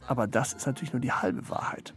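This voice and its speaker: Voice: medium pitch, fast talker, natural voice. A performer playing a dry, aloof, ironic commentator on society in a scripted literary radio play. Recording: music behind the voice.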